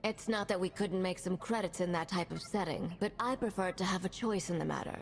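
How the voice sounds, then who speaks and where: An actress playing a calm, dry ship's crew member in a video game cutscene. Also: female voice, on the low side, a little fast, creaky, big-room sound, soft background music.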